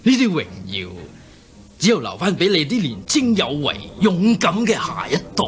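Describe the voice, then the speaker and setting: An actor with a Hong Kong Cantonese accent playing an old usurper king in a radio drama, sighing and halting as he feigns weary remorse. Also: high-pitched, sing-song, music in the background. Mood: solemn, persuasive.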